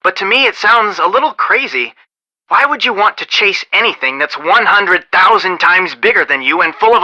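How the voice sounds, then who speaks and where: A man's voice: fairly high pitch, sharp tone, no echo, exaggerated voice, low-quality recording. An actor playing an earnest young male detective in a video game, articulate and dryly sarcastic in a conversational way.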